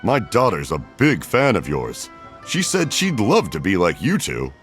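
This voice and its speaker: A man's voice: low-pitched, huge pitch swings, unhurried, a bit bright, exaggerated voice. An actor voicing a kindly, genial middle-aged father in a video game. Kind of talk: English video game voice acting. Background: faint game music.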